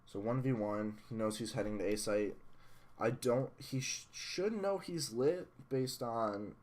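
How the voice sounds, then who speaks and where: A man reviewing a match replay as a coach, blunt and analytical, a little hoarse from losing his voice. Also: medium pitch, unhurried. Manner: analytical, blunt, swears at software glitches. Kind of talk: casual match review commentary.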